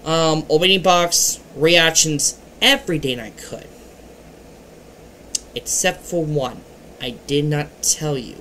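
A young man hosting his own channel, talking earnestly and haltingly straight to a webcam in a dim bedroom at home, his loudness rising and falling as he goes. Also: a little high, a little hiss or hum. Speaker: channel host. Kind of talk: casual webcam vlog. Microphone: webcam at desk.

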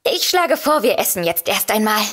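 A woman's voice: mid-range voice, sing-song, normal speed, fairly bright tone, slight background noise. An actress playing a polite, composed lady author in a comedic audio drama, courteous and matter-of-fact. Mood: gracious hostess.

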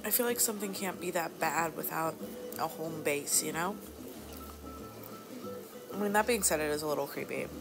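Woman playing a low, creaky, flirty bar hostess. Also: normal speed, long pauses. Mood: chatty, casual. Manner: casual, teasing, drawling asides. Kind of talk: soft-spoken ASMR roleplay. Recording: music behind the voice.

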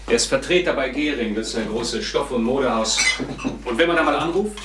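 An actor playing a keen, worried, insistent police detective in a radio crime play. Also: male voice, mid-range voice, lively intonation, fairly quick, close to an everyday voice. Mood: urgent, worried. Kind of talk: German radio crime drama acting. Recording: distant echoey room, loud noise.

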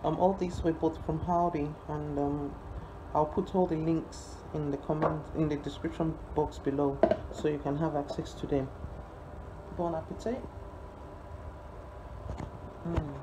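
Woman, 30s, deep-pitched, casual vlogger with halting delivery; handheld phone sound with clear background noise. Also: fairly even intonation. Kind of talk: casual vlog chat. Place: small indoor rooms at home, kitchen. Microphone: phone at arm's length. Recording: noisy handheld phone recording with room ambience.

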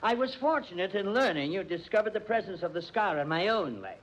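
High-voiced, sneering radio-drama murderer. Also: male voice, sing-song, fairly quick, fairly bright tone, heavily acted. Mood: gloating, smug. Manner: sardonic, mock-polite, theatrical. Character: sneering, smug, cold-blooded male murderer. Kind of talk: 1940s radio crime drama acting. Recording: dull old radio recording.

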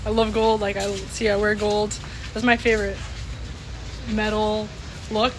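A woman's voice: mid-range voice, little pitch movement, a little slow. A young woman chatting casually and expressively to a phone camera at arm's length, outdoors on a patio. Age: young adult. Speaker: vlogger. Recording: noisy outdoor phone recording.

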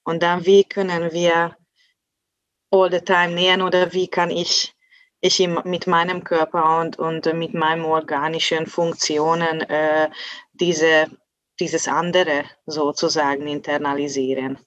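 A woman in her thirties, a native Hungarian speaker, talks slowly and haltingly, restarting phrases as she hunts for words. Her voice sits a little low. The earphone call audio is dry and dull, with no room around it. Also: little pitch movement, no echo. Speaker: translator, guest. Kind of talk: video call conversation. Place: indoors at home in front of a blue backdrop. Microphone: earphone headset on a video call.

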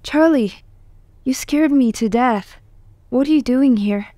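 A woman's voice: on the high side, lively intonation, measured pace, darkish tone. A woman playing a caring, frank young woman, a supportive friend, in a comic dub. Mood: startled.

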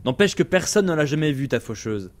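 Skeptical storyteller's son. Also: male voice, on the high side, big pitch swings, a little fast, soft background music. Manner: doubting, down-to-earth. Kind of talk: French audio drama acting.

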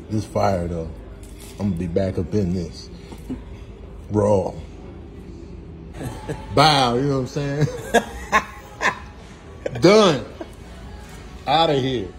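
Animated man riffing in casual slang. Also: medium pitch. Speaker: comedian doing voiceovers and food vlogs. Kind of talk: comedic casual commentary.

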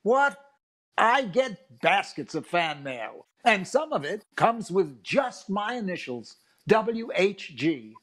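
A boastful older ladies' man talks in a high, very animated voice. He has the vain, breezy delivery of a 1940s radio comedy sidekick. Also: slow pace, exaggerated voice. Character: boastful, vain, girl-chasing radio announcer pal. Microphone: webcam-style video call, earphones.

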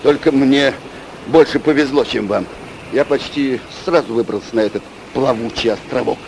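An actor in a radio play voicing a bold, hot-tempered harpooner with a blunt, forceful manner. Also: male voice, mid-range voice, normal speed, vocal fry, noisy background, close to an everyday voice. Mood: brisk.

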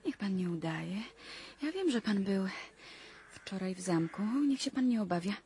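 A self-assured young lady from a good family in a radio drama. Her medium-pitched voice teases and coaxes playfully, over faint ambient noise. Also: big pitch swings, normal speed, mellow. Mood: teasing, coaxing. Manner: playful, probing, coaxing. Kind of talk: radio play acting.

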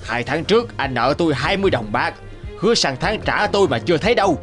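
Angry cartoon loan collector, highish voice, blunt and pushy, music behind. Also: male voice, animated, quick pace, a bit bright. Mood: angry, demanding. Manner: blunt, demanding. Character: angry, bullying male money lender. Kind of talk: cartoon acting.

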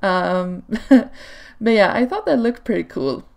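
Gravelly-voiced woman chatting over gameplay. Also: mid-range voice, slow. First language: Swedish. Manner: relaxed and chatty, with frequent ums and small laughs. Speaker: video creator. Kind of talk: casual voice-over commentary on gameplay. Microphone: home desk microphone.

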